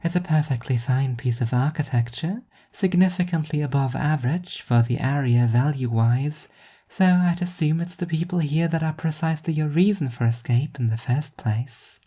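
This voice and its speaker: A woman voicing an eerie, doll-like teenage girl prophet who speaks in a flat, measured, pedantic way. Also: low voice, husky, pitched above the performer's own voice. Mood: detached, probing.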